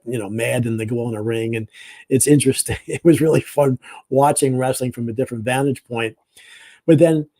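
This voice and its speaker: A man's voice: mid-range voice, dark tone, no echo. A man hosting a casual interview over a video call, asking friendly, rambling questions. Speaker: host.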